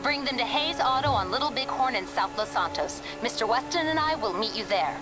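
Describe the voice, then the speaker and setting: A woman's voice: fairly high pitch, lively intonation, fairly quick, lightly acted. A woman playing a haughty, icy corporate lawyer in a video game, curt and disdainful. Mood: cold, curt. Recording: loud music behind.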